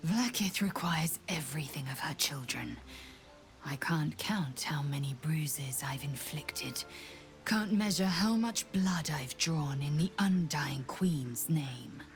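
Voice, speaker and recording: Low-voiced, fierce alien warrior woman. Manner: clipped, commanding, formal, intense. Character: fierce, battle-hardened alien warrior woman, bluntly lustful. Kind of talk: video game character dialogue.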